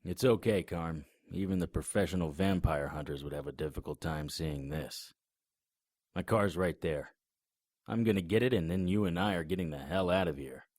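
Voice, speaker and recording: Deep, husky-voiced male narrator. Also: normal speed, darkish tone, no echo, clean studio sound. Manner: grim, matter-of-fact storytelling. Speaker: narrator and lead character. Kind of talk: first-person noir audio drama narration.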